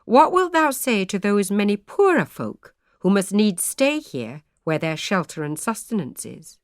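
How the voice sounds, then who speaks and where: A woman's voice: mid-range voice, very animated, measured pace, no echo, clean studio sound. A woman voicing a grave, severe old male parson who speaks in old-fashioned words.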